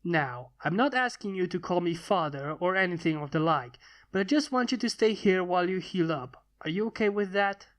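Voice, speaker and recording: A story narrator voicing an eccentric, gruff male inventor who talks in a formal, pompous, wry manner. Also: medium pitch, animated, normal speed, lightly acted. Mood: reassuring.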